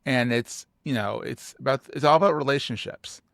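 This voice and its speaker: A man with a Philadelphia accent hosting a podcast interview, talking in an animated, joking way with emphatic repeats. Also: medium pitch, moderate pace, vocal fry, no echo, studio quality. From United States. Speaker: podcast host. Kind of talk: casual interview chat.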